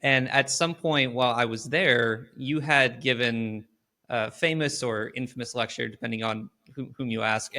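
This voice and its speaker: A man's voice: medium pitch, creaky, bright, forceful tone. A young man hosting a podcast, asking long, earnest questions with some fillers. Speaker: podcast host.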